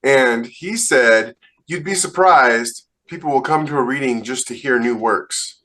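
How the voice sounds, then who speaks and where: Medium-pitched man giving casual advice. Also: unhurried, pushed and bright. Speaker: podcast co-host.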